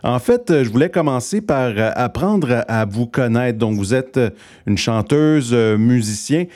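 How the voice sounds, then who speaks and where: A man's voice: mid-range voice, lively intonation, normal speed, darkish tone. Male interviewer, hesitant. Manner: hesitant, many fillers. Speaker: interview host. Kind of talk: radio-style interview.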